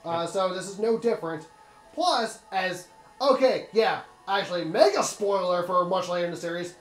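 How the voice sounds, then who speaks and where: A man's voice: high voice, normal speed, reverberant, faint music behind. A man doing casual gaming commentary, blunt and sweary, giving rambling opinions on a story. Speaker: let's play commentator.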